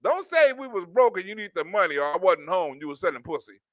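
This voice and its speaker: A man giving loose, animated comic commentary on a clip, laughing often and acting out little scenes. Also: high-pitched, quick pace, no echo. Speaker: streamer reacting to a news clip.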